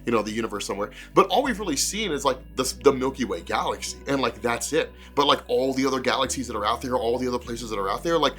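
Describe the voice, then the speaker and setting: A man's voice: mid-range voice, lively intonation, fast, hardly any pauses, bright, forceful tone, faint music behind. A man with a congested, cold-affected voice giving casual, rambling fan commentary as a video host, repeating himself and peppering his talk with "like". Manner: rambling, conversational, repeats phrases and says like often. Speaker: comics explainer video host.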